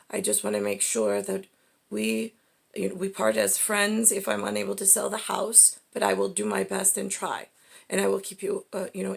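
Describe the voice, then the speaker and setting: A woman giving a rambling, off-the-cuff monologue in a halting, digressive way, often breaking off mid-sentence, in a husky, gravelly voice. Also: medium pitch. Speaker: speaker in a personal monologue.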